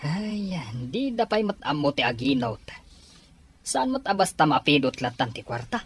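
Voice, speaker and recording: A man playing a stern, critical rural father in a family radio drama, husky and disapproving. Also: fairly high pitch, very animated, normal speed. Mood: stern disapproval. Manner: disapproving, questioning.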